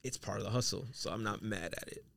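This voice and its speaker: A man's voice: on the low side, fast, creaky, fairly bright tone, dry recording, clean studio sound. An American man co-hosting a podcast in casual, slangy talk, often checking that he is being understood. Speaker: podcast co-host.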